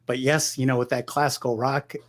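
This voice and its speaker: A middle-aged man from Michigan talks about his music as an interview guest. His voice sits at an ordinary middle pitch, and he rambles along with lots of restarts and "you know.". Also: little pitch movement, fairly quick. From Michigan, United States. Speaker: guest guitarist. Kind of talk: relaxed conversational interview.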